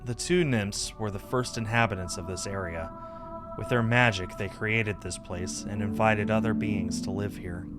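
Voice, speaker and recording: Visual novel forest dweller, male reader: reserved, gentle, measured storyteller, lowish voice, music underneath. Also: steady tone, lightly acted. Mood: solemn storytelling.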